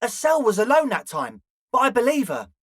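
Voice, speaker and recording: A man's voice: very high-pitched, wildly swooping pitch, a little fast, slightly rough, dry recording, studio quality, exaggerated voice. A man voicing an eager, flaky young male slacker ringleader in a video game, talking in an earnest, ingratiating, hedging way. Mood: eager, agreeable. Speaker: voice actor. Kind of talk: video game character dialogue.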